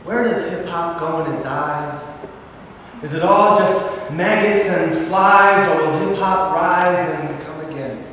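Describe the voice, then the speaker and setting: A man's voice: on the high side, slow, a bit bright. Man, spoken word poet, punchy rhythm, echoey hall, faint noise. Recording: echoey hall with long reverb.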